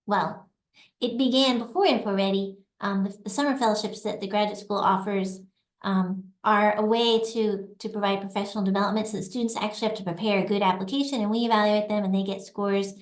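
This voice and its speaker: Woman, middle-aged, mid-pitched, chatty, laughs easily, echoey room. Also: steady tone, brisk. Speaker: assistant dean of a graduate school, guest. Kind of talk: conversational interview answers.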